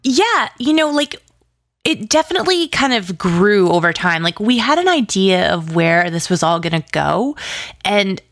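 Woman, mid-range pitch, dry recording, interview guest, casual chat, enthusiastic, gushing, says "like" often. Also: very animated, measured pace, fairly bright tone, dry recording. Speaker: interview guest, audio drama writer and producer.

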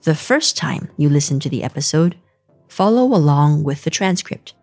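Low-voiced woman hosting an English lesson. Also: expressive, a little mellow, no echo. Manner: clear, slow teacherly delivery. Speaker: podcast host. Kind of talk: scripted lesson narration. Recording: dry studio voice with faint music.

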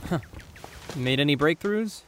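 A man's voice: a little high, lively intonation, a little slow, background noise. A man playing a guarded, defensive but sensitive teenage boy in a naturalistic audio drama, with hesitant delivery. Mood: surprised, amused.

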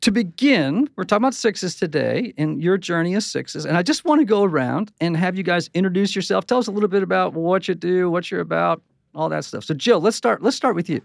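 A man's voice: high-pitched, animated, brisk, creaky, no room sound. A man from Connecticut hosting a panel chat in a studio, jokey and enthusiastic, teasing his guests. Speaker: podcast host. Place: studio with mics.

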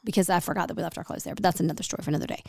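A middle-aged woman hosting a podcast, a chatty storyteller who acts out the people in her stories, with a slightly gravelly, hoarse voice. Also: fairly low pitch, racing, darkish tone, no echo, studio quality. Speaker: podcast host. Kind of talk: casual chat.

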